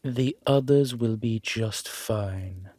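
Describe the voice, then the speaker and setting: A man voicing a grave, protective, centuries-old immortal in a roleplay audio drama, speaking in a measured, formal and solemn manner. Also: fairly low pitch, mellow, no room sound. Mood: solemn, guarded.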